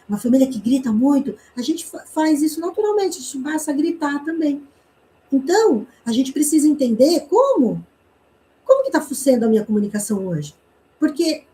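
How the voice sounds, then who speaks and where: A woman teaches a communication class. Her voice sits fairly high but sounds soft and mellow. She explains each point patiently, asking rhetorical questions and checking in with tag questions. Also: rough recording. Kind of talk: online class lecture.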